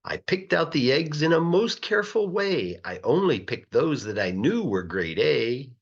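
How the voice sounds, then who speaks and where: A man reading a children's rhyming story aloud in a playful, rhythmic, expressive way, like a teacher. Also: medium pitch, a little slow, vocal fry, breathy edge, dull audio. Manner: animated, playful, rhythmic verse delivery.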